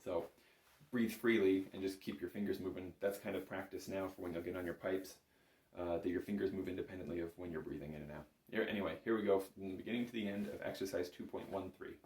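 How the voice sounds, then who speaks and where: A man's voice: low-pitched, fairly even intonation, quick pace, darkish tone. A man giving a casual spoken music lesson as an instructor, in an easygoing, reassuring manner. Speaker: bagpipe instructor. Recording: echoey room.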